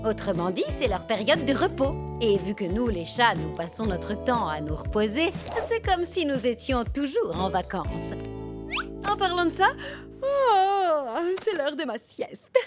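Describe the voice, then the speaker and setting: A woman's voice: a little high, sing-song, measured pace, over loud music. A woman voicing a haughty, sarcastic house cat in a cartoon dub, lecturing in an exasperated way. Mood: smug. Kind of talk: French cartoon dubbing.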